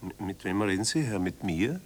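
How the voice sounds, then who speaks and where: A man's voice: low-pitched, animated, normal speed, a little mellow. An actor in a German radio drama playing a pedantic, condescending male official, officious and mock-polite.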